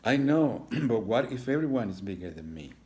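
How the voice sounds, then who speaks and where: A man's voice: medium pitch, big pitch swings, moderate pace, mellow, natural voice. A man voicing a shy young boy iguana in a storybook, with anxious, hesitant questions. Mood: worried.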